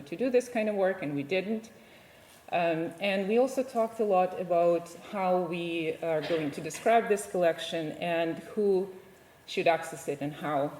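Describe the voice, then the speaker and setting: A woman's voice: lowish voice, darkish tone, roomy sound, poor audio. A woman from Ukraine, a native Ukrainian speaker, giving a conference presentation as a digital archivist, speaking hesitantly with many fillers and restarts.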